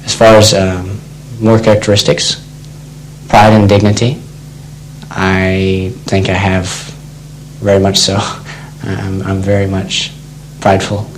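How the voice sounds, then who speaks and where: A middle-aged man from Texas with an American accent, introducing himself in a halting, deliberate, unscripted way. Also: low-pitched, monotone, low-quality recording. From Texas, United States. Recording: clear background noise with hum.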